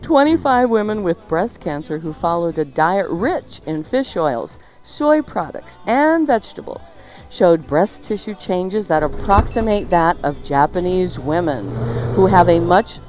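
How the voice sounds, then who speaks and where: A woman presents health items as a radio-style show host. Her voice sits low but moves up and down a great deal, with a playful touch. The audio is dull and lo-fi, with faint music behind her. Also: animated, unhurried, darkish tone. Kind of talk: reading health news and sponsor spots on a talk show.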